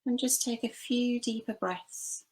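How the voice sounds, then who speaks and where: A woman leading a guided body scan meditation, giving gentle, unhurried instructions with long pauses between phrases. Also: on the high side, poor audio. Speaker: meditation teacher.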